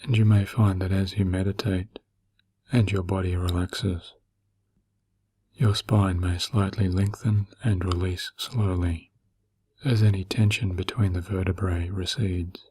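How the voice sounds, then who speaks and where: A man narrating a guided meditation in a gentle, soothing, slow manner with long pauses and a breathy, husky voice. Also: sits low, flat delivery.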